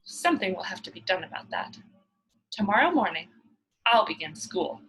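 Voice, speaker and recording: A woman's voice: medium pitch, big pitch swings, moderate pace, fairly bright tone, pitched below the performer's own voice, rough recording. A woman voicing a cheeky, stubborn young girl in a storybook, speaking emphatically and with self-importance. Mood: decided.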